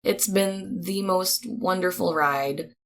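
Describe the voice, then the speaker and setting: Woman, lowish pitch, podcast announcer, chatty, upbeat, expressive, informal credits read. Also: slow pace, slight background noise. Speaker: podcast co-creator and voice actor reading credits.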